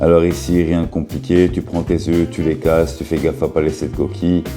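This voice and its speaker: A man with a cold and a sore, hoarse throat, casually talking the viewer through simple home recipes with jokes along the way. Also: low voice, flat delivery, brisk. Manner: joking, chatty, talks straight to the viewer. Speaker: cooking vlogger. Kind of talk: casual cooking video narration. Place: his bedroom. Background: guitar music.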